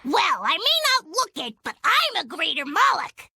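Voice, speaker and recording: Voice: sing-song, normal speed, noisy background, exaggerated voice. An actor voicing a small, whiny, melodramatic spirit creature in a video game cutscene. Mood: plaintive. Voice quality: squeaky, cartoonish. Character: small whining timid male spirit creature servant.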